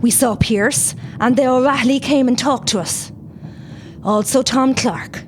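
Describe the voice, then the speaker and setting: A woman's voice: high voice, unhurried, slight background noise. A woman playing a determined young rebel, narrating her first-person account in an audio play. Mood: composed.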